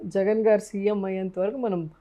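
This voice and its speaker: A woman's voice: mid-range voice, steady tone, normal speed. A woman talking as a politician for her party in a political interview. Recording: noisy interview clip audio.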